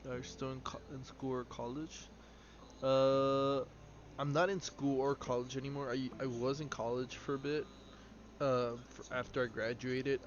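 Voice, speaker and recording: Young male streamer, twenties, rambling chat over gameplay, noisy lo-fi audio. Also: mid-range voice. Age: 20s. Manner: rambling, halting, answers viewer questions aloud. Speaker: live streamer. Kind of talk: casual chat while playing a game. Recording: dull lo-fi stream audio with noise.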